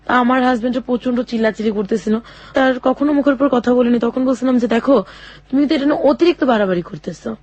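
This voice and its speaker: A young woman telling her own life story in an interview, speaking haltingly with many fillers and restarts. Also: fairly high pitch, a little mellow, no room sound, lo-fi sound. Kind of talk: personal testimony in a radio interview.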